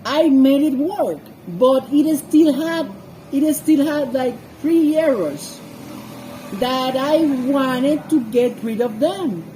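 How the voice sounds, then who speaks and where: High pitched lady, not native english, chatting thru bible verses slowly, kinda halting, soft dark tone. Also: lively intonation, a little hiss or hum, rough recording. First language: not a native English speaker. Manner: halting, searching for words. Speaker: scripture reader and commentator. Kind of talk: informal Bible commentary with personal anecdotes.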